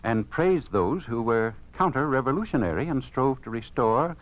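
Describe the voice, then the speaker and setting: A smug state censor from an old radio play, acted by a man, speaks with formal, pompous menace. His medium-pitched voice rises and falls widely on a dull, muffled vintage recording. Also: lively intonation, moderate pace, natural voice. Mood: stern, doctrinaire. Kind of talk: 1950s radio drama acting.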